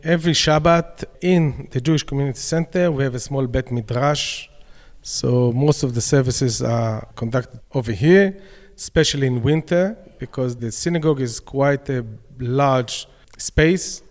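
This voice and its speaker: A man with an Israeli accent answers questions at an easy mid pitch, plain and a little halting. His voice rings with a long room reverb. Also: darkish tone. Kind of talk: casual interview answers. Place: community centre dining room.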